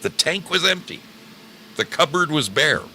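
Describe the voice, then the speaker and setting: A man hosting a radio show, talking casually to listeners in a deep, gravelly voice with theatrical, drawn-out emphasis. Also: big pitch swings, bright, forceful tone, slight background noise.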